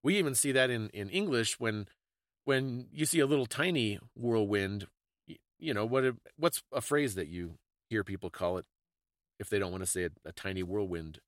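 A man's voice: fairly low pitch, moderate pace, no room sound, studio quality. A man co-hosting a podcast, casually discussing folklore and stories in a thoughtful, explanatory way. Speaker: podcast co-host.

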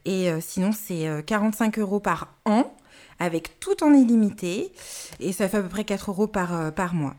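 A woman's voice: medium pitch, animated, normal speed, warm tone. A woman presenting a podcast segment to listeners, enthusiastic and chatty, with frequent hesitations. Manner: enthusiastic, hesitant with many fillers and restarts. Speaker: podcast columnist. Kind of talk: casual chat reporting to listeners.

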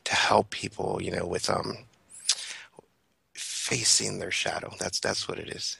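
A man's voice: low-pitched, little pitch movement, a little slow, gravelly, sharp tone, husky, dry recording, whispered, poor audio. A man speaking as a guest in a casual, reflective interview, informal and peppered with "you know". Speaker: guest, metal singer.

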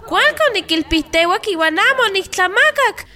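A woman's voice: very high voice, sing-song, normal speed, bright, forceful tone, exaggerated voice. A woman playing a fretful, plaintive village woman in a scripted radio drama. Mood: worried.

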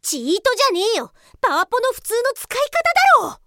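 A woman playing an exasperated, sharp-tongued office police officer in a comedy radio drama, with blunt scolding retorts. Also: very high-pitched, big pitch swings, fast, no room sound, studio quality, heavily acted. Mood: interrogating.

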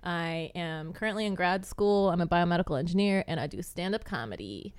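A woman's voice: lowish voice, expressive, brisk, smooth, no echo, clean studio sound. A young woman with an American accent chatting casually and candidly as a joking podcast guest. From Utah, United States. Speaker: podcast guest, stand-up comic. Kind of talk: casual chat.

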